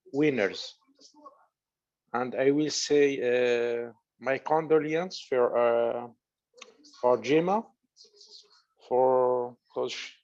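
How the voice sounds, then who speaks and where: A man from Morocco with a Moroccan accent, speaking in halting, non-native phrasing as a panelist on a video call webinar. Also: medium pitch, a little mellow, lo-fi sound. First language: Arabic. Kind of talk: casual video call chat.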